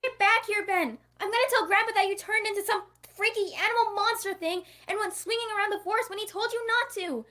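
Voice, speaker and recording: A woman's voice: very high voice, brisk, few pauses, bright, forceful tone. A woman playing a bratty, teasing ten-year-old girl in an amateur cartoon table read. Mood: indignant.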